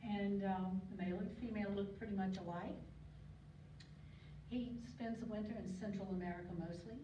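An older woman giving an informal slide talk at a podium, chatty and digressive, with frequent ums and throat clearing. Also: mid-range voice, steady tone, normal speed. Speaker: guest speaker on local birds. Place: lecture hall. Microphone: podium mic, recorded by a far camera. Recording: distant echoey hall recording, muffled, noisy.

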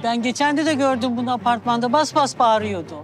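An actress as a gossipy TV drama neighbour woman. Her voice is slightly high and full of disapproval. Faint music runs behind her. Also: fast, close to an everyday voice. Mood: scornful. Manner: scornful, gossiping. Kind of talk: television drama acting.

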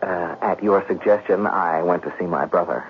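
A man acting a scheming gambler in a 1970s radio drama, with a smooth, sly, theatrical delivery. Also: deep voice, moderate pace, over loud music. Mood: cool, calculating. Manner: theatrical, sly, feigning innocence. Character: scheming, smooth gambler brother narrating his own plot. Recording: muffled, noisy 1970s radio broadcast.

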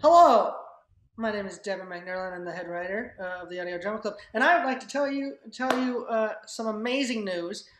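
A woman's voice: mid-range voice, fairly quick, slight background noise. A young woman speaking informally as a student club writer, making an announcement on a video call. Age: young adult. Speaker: head writer of student audio drama club. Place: remote video call indoors. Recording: echoey room over video call.

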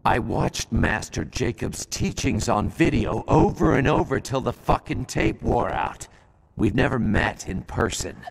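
An actor playing a cocky, laid-back assassin swordsman in a video game, wisecracking and profane with a gravelly voice. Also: mid-range voice, sing-song, a little slow, heavily acted. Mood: calm, wry. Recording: long reverb, music behind.

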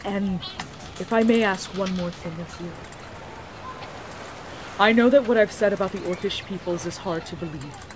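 A woman playing an earnest, high-minded young woman in a fantasy audio drama, speaking formally in measured, archaic phrases. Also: over music, natural voice. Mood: solemn, earnest.

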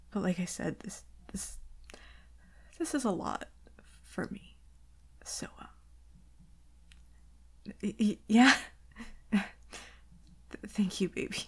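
A woman playing an anxious, tender werewolf girlfriend in an intimate ASMR roleplay, stammering and hesitant in a breathy half-whisper. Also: mid-range voice, slow, pauses often, pitched below the performer's own voice. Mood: nervous, hesitant. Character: anxious, tender werewolf girlfriend, scared of hurting her partner.

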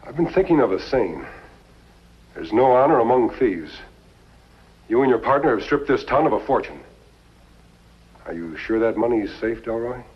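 An actor playing a calm, authoritative masked cowboy lawman with deliberate speech in a 1950s western. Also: medium pitch, slightly rough. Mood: calm, assured. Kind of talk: 1950s television western acting. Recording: dull old 1950s television soundtrack.

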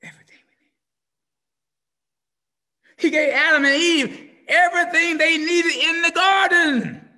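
Middle-aged preacher man on a video call, super high sharp voice, goes from shouting to whispering, lots of repeating. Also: unhurried, long pauses. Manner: wide swings from loud shouting to hushed near-whisper, repetitive cadence. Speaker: pastor. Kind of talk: impassioned sermon. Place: home room with window blinds. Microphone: video call webcam. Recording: video call audio with long reverb.

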